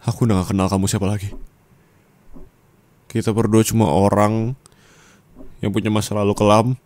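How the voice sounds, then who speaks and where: A man's voice: on the low side, flat delivery, moderate pace, a little mellow, natural voice. A young man playing an angry, hurt boyfriend in an ASMR roleplay, confronting his partner and demanding answers. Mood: bitter.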